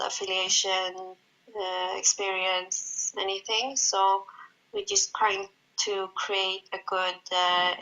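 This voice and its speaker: Bright-voiced woman, flat delivery. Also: medium pitch, low-quality recording. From Sweden. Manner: hesitant, many fillers, encouraging. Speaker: guest, jiu-jitsu event organizer. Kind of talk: casual interview chat.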